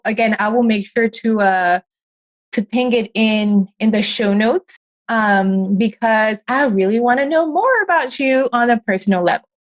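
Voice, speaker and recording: A woman hosting a podcast, chatting casually with a guest, animated and quick to laugh, often jumping up in pitch. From United States. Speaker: podcast host. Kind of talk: casual interview chat.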